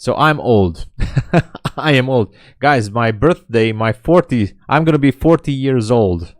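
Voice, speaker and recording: A man's voice: medium pitch, expressive, a little slow, dry recording, clean studio sound. A man in his late thirties, a day trader chatting casually on his live stream and answering viewer comments with a candid, self-deprecating manner. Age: 30s. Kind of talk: casual livestream chat.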